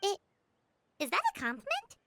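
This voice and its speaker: A woman voicing a small, childlike female fairy companion in a video game, cutesy, cheeky and expressive. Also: extremely high pitch, normal speed, full character voice. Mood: whiny, cheeky. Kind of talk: animated video game acting. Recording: background music behind voice.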